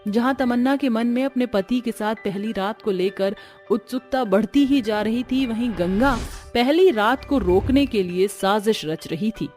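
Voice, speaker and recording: A woman's voice: on the high side, unhurried, darkish tone, soft background music. A woman reading scripted narration as the storyteller of a family tale.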